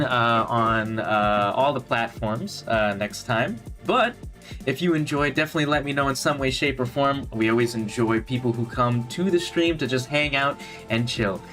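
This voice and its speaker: Chatty, lively man streaming a game. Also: mid-range voice. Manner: chatty, rambling, many restarts and fillers. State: slightly hoarse. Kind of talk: casual gameplay stream chat. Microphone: gaming headset.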